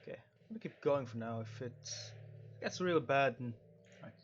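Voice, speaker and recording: A man's voice: mid-range voice, expressive, a little fast, muffled recording, slight background noise. A man giving casual, rambling livestream commentary on a game, often correcting himself as he talks into a headset microphone. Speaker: game streamer.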